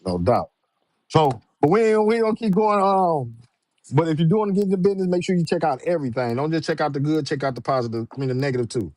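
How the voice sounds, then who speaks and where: A man's voice: on the high side, lively intonation, fast talker, a little mellow, no room sound. A man hosting a show, chatting casually in an informal, slangy way. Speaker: show host. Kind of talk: casual live interview chat.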